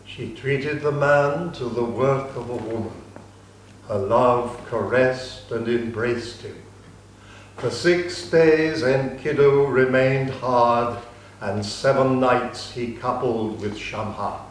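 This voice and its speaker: A man narrating an ancient epic in a measured, solemn dramatic reading. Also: mid-range voice, a little hiss or hum. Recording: distant mic, echoey room.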